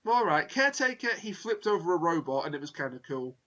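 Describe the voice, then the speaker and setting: A man's voice: on the high side, very animated, quick pace, pushed and bright, lo-fi sound. A man hosting a casual review podcast, wordy and dryly humorous, often correcting himself, sounding tired late at night. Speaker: podcast host.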